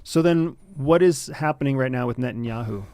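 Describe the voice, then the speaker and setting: A man hosts an interview, framing topics and pressing his guest with pointed questions. His voice sits at a middle pitch. The recording is dry and close. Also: brisk, warm tone, no room sound. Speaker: interview host.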